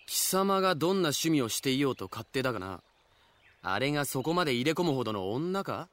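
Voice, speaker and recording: A man's voice: medium pitch, sing-song, fairly quick, fairly bright tone. A man acting in a Japanese audio drama as a haughty, prickly fallen nobleman, speaking in an imperious, archaic, scornful way. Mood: exasperated. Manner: imperious, sneering, archaic formal speech.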